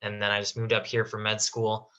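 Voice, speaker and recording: A man's voice: on the low side, completely flat delivery, fairly quick, pushed and bright, dull audio. A young man from Las Vegas, a medical student, introducing himself casually on a video call with lots of "like" and "um".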